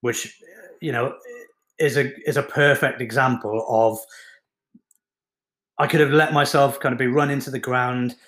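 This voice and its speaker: A man's voice: mid-range voice, fairly even intonation, a little slow, pauses often, a bit bright. Earnest man in an echoey room. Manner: earnest, reflective, encouraging. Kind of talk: motivational talk on mindset.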